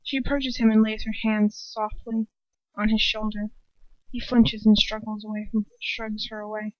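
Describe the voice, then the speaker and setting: A woman's voice: mid-range voice, level tone, moderate pace, no room sound, muffled recording. A young woman reading fan fiction aloud informally, breaking off with sweary, irreverent asides and corrections of her own slips. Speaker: amateur fan fiction reader.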